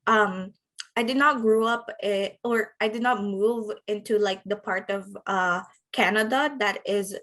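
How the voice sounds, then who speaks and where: A woman's voice: medium pitch, measured pace, fairly bright tone. A woman in her twenties who is a social worker, answering interview questions hesitantly with frequent fillers. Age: 20s. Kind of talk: casual interview storytelling.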